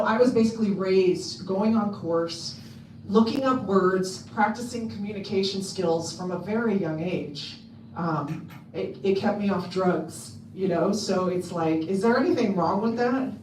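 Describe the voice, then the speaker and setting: A woman with a loud voice giving a casual talk to a convention audience, chatty and full of ums and restarts. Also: mid-range voice, a little hiss or hum. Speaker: convention speaker. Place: convention hall. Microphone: handheld mic on a stand. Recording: echoey hall, mic at a distance.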